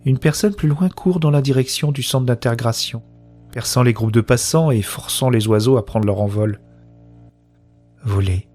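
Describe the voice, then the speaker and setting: A man's voice: mid-range voice, moderate pace, darkish tone, faint music behind, close to an everyday voice. A man playing a wonderstruck woman who narrates a science-fiction audio drama in the first person, in earnest short phrases. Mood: uneasy, weak, then eager, determined. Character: wonderstruck woman newly linked to a shared consciousness.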